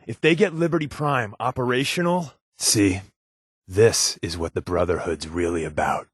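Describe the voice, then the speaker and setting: A man's voice: on the low side, moderate pace, husky, no room sound, clean studio sound. An actor playing a glib, wary but loyal secret-agent companion in a video game, sardonic and colloquial in his delivery. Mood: worried, serious. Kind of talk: scripted video game character dialogue.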